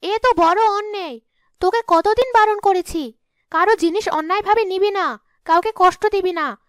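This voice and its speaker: A woman's voice: very high voice, normal speed, no echo, clean studio sound, voice pushed up. A woman voicing a kind but firm elder sister ghost in a cartoon, protective and principled. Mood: scolding. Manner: reassuring, morally firm.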